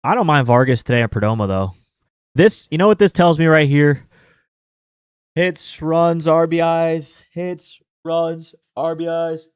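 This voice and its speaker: A man's voice: on the high side, measured pace, smooth, no echo. Rambling man, dry close mic. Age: 30s. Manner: rambling, filler-heavy, with mild swearing. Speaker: sports betting host. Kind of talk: casual chat.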